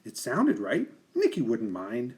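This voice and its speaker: A man narrates a children's picture book in an expressive, teacherly way. His pitch moves up and down with lots of animation, and he places careful emphasis so that young listeners can follow. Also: takes it slowly.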